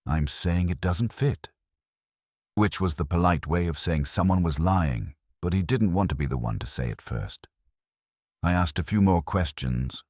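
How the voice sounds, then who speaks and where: A man's voice: low voice, normal speed, a little mellow, no room sound, studio quality. A man reading scripted first-person detective fiction with wry, clipped storytelling. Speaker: audiobook narrator in the part of a wry detective's assistant. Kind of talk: scripted first-person hardboiled mystery narration.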